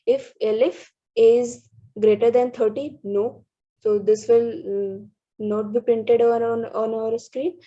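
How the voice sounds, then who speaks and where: A woman reads through programming slides, stopping often and restarting words. Her voice sits fairly high and sounds husky and mellow. The recording is dull and lo-fi. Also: steady tone. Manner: halting, restarts words, many fillers. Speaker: student presenter.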